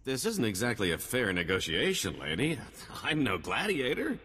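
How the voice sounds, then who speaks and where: A man's voice: mid-range voice, huge pitch swings, normal speed, pushed and bright, husky, in a large hall, heavily acted. A man playing a slippery, boastful alien crime dealer in a video game, with an oily, wheedling salesman's manner. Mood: indignant, desperate. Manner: oily salesman's bargaining, indignant bursts.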